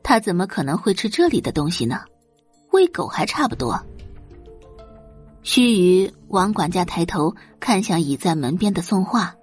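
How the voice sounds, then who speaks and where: A woman's voice: on the low side, big pitch swings, moderate pace, husky, faint music behind. A woman narrating a scripted dramatic audiobook story.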